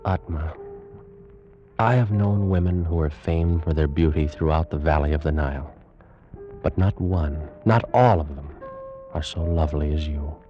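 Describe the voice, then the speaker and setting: A deep-voiced priest of ancient Egypt speaks with solemn, anguished ardor. The delivery is formal and theatrical, in radio-play style. The recording is dull and vintage, with music clearly behind. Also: male voice, normal speed. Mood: hesitant, tender. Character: ardent ancient Egyptian priest, anguished lover telling his past. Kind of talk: old-time radio drama acting.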